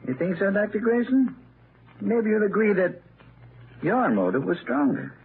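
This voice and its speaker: An actor playing a gruff older police inspector who interrogates bluntly in a 1930s radio drama. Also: male voice, high-pitched, very animated, moderate pace, exaggerated voice. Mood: accusing. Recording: tinny, noisy 1939 radio broadcast.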